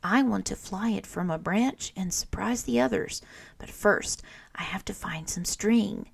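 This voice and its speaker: A young storybook bear who keeps his words hushed and careful, as a woman reading aloud puts him on. The voice is slow and gravelly, recorded dry and close. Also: medium pitch, dry recording, full character voice. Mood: secretive. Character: young male bear, proud then hurt.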